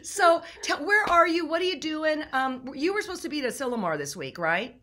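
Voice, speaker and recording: A woman hosting a casual video call interview, bubbly and quick to laugh and react. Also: high-pitched, very animated, sharp tone. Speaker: show host interviewer.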